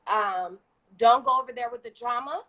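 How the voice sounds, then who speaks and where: A woman with a Haitian accent talks at length over a video call, with a bright, slightly high voice. She is a chatty, animated guest who laughs easily and keeps the conversation flowing. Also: moderate pace. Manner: talkative, animated, laughs often. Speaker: guest entrepreneur. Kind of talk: casual live-stream chat.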